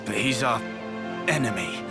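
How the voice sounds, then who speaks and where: A man voicing a brash, impatient young fighter in a video game, blustering casually and halting as he hunts for words. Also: mid-range voice, expressive, vocal fry. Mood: defensive. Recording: loud game music underneath.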